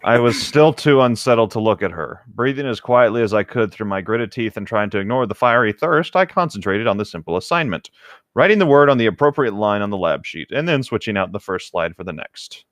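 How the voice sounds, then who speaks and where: A man's voice: fairly low pitch, expressive, fairly quick, no echo. A man co-hosting a comedic read-along, reading aloud casually with dry, teasing asides.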